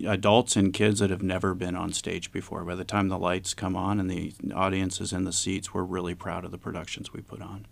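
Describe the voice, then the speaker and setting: A man's voice: deep voice, vocal fry, no echo. A man speaking as a community theater director, answering conversationally in an interview.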